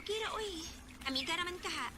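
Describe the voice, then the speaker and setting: A woman's voice: extremely high pitch, lively intonation, fast. A Filipino woman with a Bisaya accent playing an eager, chatty young daughter who sweetly pleads in a radio drama. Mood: cheerful. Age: young adult.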